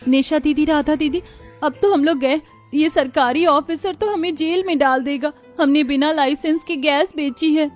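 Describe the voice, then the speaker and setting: An earnest, enterprising village daughter-in-law in a cartoon, acted by a woman. The voice is high and expressive, with a homely manner. Faint music plays behind it. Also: moderate pace, close to an everyday voice. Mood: frightened. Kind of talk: scripted cartoon dialogue.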